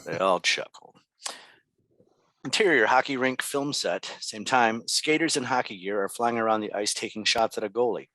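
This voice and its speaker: A man's voice: medium pitch, moderate pace, bright, forceful tone, no room sound, rough recording. A man narrating stage directions from a script at a table read.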